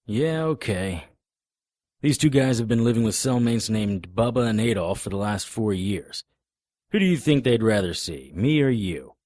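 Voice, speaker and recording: A man playing a wry, laconic private detective in a noir audio drama, with deadpan delivery. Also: on the low side, moderate pace, no echo, studio quality, natural voice.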